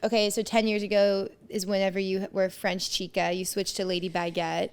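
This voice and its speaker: A woman's voice: mid-range voice, level tone, moderate pace, smooth. A woman hosting a podcast, asking her guest questions in a friendly, curious, casual way. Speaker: podcast host. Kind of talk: casual chat.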